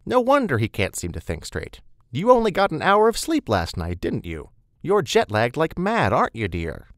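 A man's voice: medium pitch, huge pitch swings, no echo, clean studio sound, pitched above the performer's own voice. A man voicing a chatty, motherly woman who bustles and welcomes people in an audiobook. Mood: fussing, sympathetic. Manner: talkative, welcoming, brisk. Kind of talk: audiobook character dialogue.

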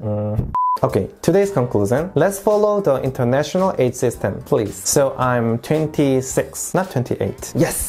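Korean-accented man, 20s, chatty vlogger, warm tone, lapel mic. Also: mid-range voice, animated, a little slow, soft background music. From South Korea. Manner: earnest, chatty, laughs often. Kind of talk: casual explainer talk to camera. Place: small room indoors, seated.

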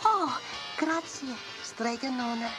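A woman's voice: high-pitched, expressive, takes it slowly, music in the background, pitched above the performer's own voice, poor audio. A woman voicing a clever, sensible young helper in a storybook read-aloud, speaking earnestly.

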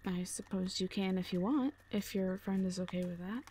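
A woman playing a calm, reassuring orc mercenary leader in an audio drama, speaking softly in measured, plain, short phrases. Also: medium pitch, slight background noise, close to an everyday voice. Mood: kindly, firm. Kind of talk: audio roleplay drama acting.

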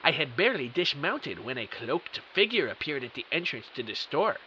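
A man's voice: on the high side, big pitch swings, moderate pace. A man playing a bewildered computer technician who narrates his own mystery audio drama with earnest, melodramatic emphasis. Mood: dramatic, intrigued. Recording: dull, hissy audio.

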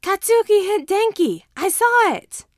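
A woman acts out an excitable little boy of five from a storybook. The voice jumps up high and loud, whiny and urgent, in a dry close recording. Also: a little slow, no echo, heavily acted. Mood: urgent tattling. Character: excitable five-year-old boys, tattling and protesting.

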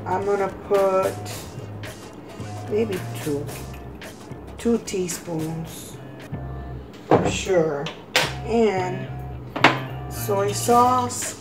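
Slow, slightly hoarse woman in her kitchen. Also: medium pitch, music in the background. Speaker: cooking vlog host. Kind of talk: casual cooking demonstration. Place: home kitchen, indoors.

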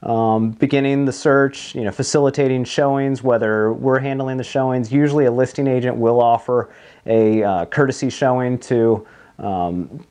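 A man's voice: mid-range voice, steady tone, moderate pace, a little mellow. A man in his thirties speaking as a broker being interviewed, explaining in a conversational way in a small office. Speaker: marine broker, interview guest. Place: small office meeting room, indoors.

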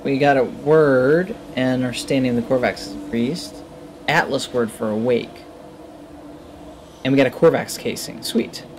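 A man in his thirties giving casual game commentary into a headset, thinking aloud in short, low-key remarks. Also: a little slow, slight background noise, rough recording. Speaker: gamer, let's play host. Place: indoor room at a gaming setup.

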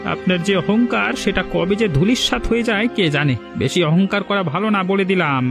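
A man's voice: high voice, moderate pace, vocal fry, music in the background. A man voicing a humble, struggling village eatery owner and devoted father in a cartoon, plaintive and earnest. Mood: aggrieved. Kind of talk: Bengali village cartoon dialogue.

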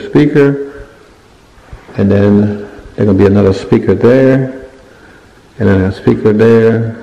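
Man, casual DIY vlogger, rambling and halting delivery, gravelly dark voice, phone audio in an echoey empty drywall room. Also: fairly low pitch. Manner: rambling, searches for words, lists things as he points. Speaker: home renovation vlogger. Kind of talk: casual walkthrough narration. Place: unfinished basement room with bare drywall, indoors. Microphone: handheld phone camera. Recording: echoey bare room, dull lo-fi sound.

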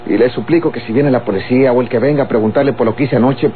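A man's voice: medium pitch, racing, faint music behind, lightly acted. Man playing a lying young Mexican bandit, tinny old radio. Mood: pleading, scheming. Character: young male bandit and murderer, wheedling, lying, hot-tempered. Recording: muffled old radio recording with mains hum.

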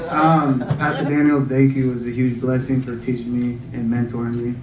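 A man's voice: medium pitch, level tone, a little fast. A man graduating from a church program, a pastor assistant, gratefully thanking people on stage. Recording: echoey hall from far off, loud noise.

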